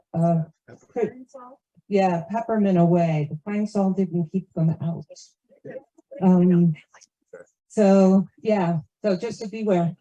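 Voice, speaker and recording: A woman's voice: medium pitch, mellow. A woman giving an informal report as a participant in a meeting, on slightly dull audio.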